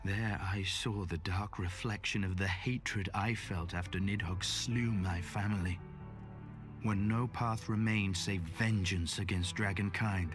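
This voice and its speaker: A beaten fantasy game knight, speaks with solemn weariness. His breathy delivery carries grief in archaic, formal phrases. Clear music plays behind him. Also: low voice, steady tone. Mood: weary, sorrowful. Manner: slow archaic, solemn speech. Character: exhausted, grieving dragon-slaying knight, solemn and beaten. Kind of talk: dramatic video game acting.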